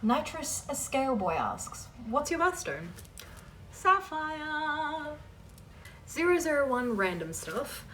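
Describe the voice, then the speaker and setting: A woman's voice: high-pitched, sing-song, takes it slowly, fairly bright tone, distant mic, a little hiss or hum. A woman hosting a casual question-and-answer chat for her viewers, informal and emphatic as she reads questions aloud and answers them.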